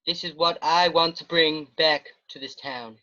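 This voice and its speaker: A woman reading the part of a pompous small-town male mayor in an amateur online play reading, speaking in a lowered, grand voice. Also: steady tone, a little slow, pushed and bright, dull audio.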